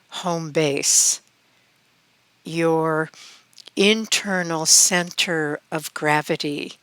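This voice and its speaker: Female meditation guide: calm, extremely unhurried delivery with long pauses, smooth clear tone, close and dry sound. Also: mid-range voice, dry recording. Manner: calm, measured, with long pauses between phrases.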